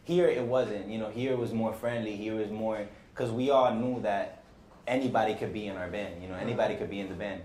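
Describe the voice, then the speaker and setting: A young man in a casual interview, talking in a relaxed, rambling way with lots of "you know". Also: fairly low pitch, brisk, echoey room, slight background noise. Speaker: guest, boy band member.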